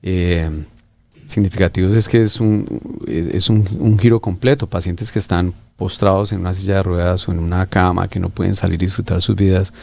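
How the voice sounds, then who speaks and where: A middle-aged man from Colombia, an orthopedic surgeon explaining treatments in an interview, pausing often with fillers and restarts. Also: low-pitched, level tone, gravelly, darkish tone. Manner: hesitant, many fillers and restarts.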